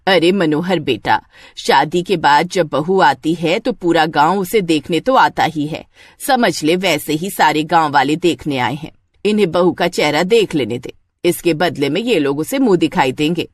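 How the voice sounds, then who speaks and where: A woman's voice: low-pitched, lively intonation, normal speed, no room sound, pitched below the performer's own voice. A woman voicing an older, placating village mother in a moral story, soothing and persuasive. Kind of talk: animated moral story dialogue.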